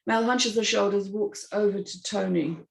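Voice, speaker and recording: A woman's voice: mid-range voice, moderate pace, gravelly, echoey room, low-quality recording. A woman narrator reading screenplay stage directions in a scripted style.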